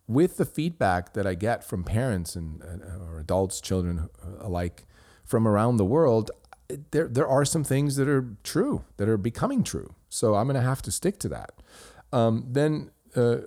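A middle-aged podcast host from Switzerland, Swiss German by birth, speaking in a deep voice. His tone is warm and dark as he talks through a review in an earnest, rambling way. He restarts sentences often as he defends himself. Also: big pitch swings, a little slow. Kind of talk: responding to a listener review.

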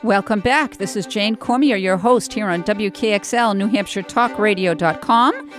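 A woman's voice: medium pitch, normal speed, soft background music. A woman hosting a chatty local radio show, talking informally in an effusive, exclaiming, encouraging way. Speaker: radio talk show host. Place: radio studio.

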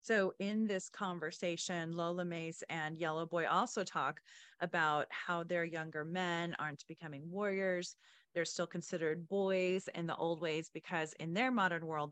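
A woman hosting a podcast, reading story passages and asking questions with expressive, informal asides. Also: lowish voice, moderate pace, clean tone, no room sound. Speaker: podcast host. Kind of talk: reading book excerpts and interviewing the author.

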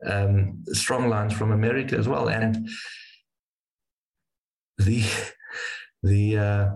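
South African man, Afrikaans first language; low, halting, repeats himself; echoey room. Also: steady tone, creaky, darkish tone. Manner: halting, repeats words, restarts often. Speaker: wingshooting guide. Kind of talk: casual interview storytelling.

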